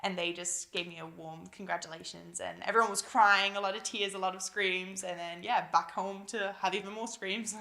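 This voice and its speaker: A young woman with a Malaysian accent, a newly drafted footballer, chatting excitedly in a casual interview at home, with lots of fillers and giggles. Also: mid-range voice, normal speed, gravelly, few pauses. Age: young adult. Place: busy house at home.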